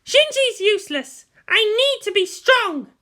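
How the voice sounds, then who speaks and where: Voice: very high-pitched, big pitch swings, measured pace, bright, forceful tone, voice pushed up, heavily acted. A narrator voicing a proud, anguished teenage girl pilot in an intense, insistent inner monologue for a story reading. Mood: desperate, determined.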